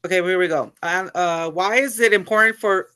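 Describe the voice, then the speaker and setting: Young woman, halting with "you know". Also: lowish voice, sharp tone, clear, resonant tone, no echo. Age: young adult. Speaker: college student.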